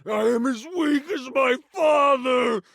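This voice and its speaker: Wailing, high-pitched tiger beast. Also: male voice, slow, gravelly, fairly bright tone, dry recording, shouting, exaggerated voice. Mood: anguished shame. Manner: wailing, overwrought. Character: melodramatic, shame-stricken villainous male tiger beast with babyish catchphrase.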